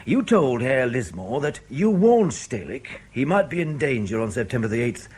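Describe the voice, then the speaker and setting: An actor playing a blunt, sceptical intelligence interrogator in a radio drama, with a husky voice and a dry, insistent manner. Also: male voice, mid-range voice, very animated, moderate pace, a little mellow. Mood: dry, businesslike.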